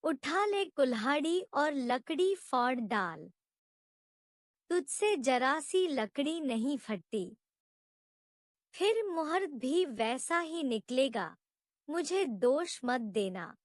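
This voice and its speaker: A woman's voice: high voice, big pitch swings, slow, no echo, clean studio sound, full character voice. A woman storyteller voicing a haughty, impatient male village priest who commands and goads. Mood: scolding, impatient. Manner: commanding, goading.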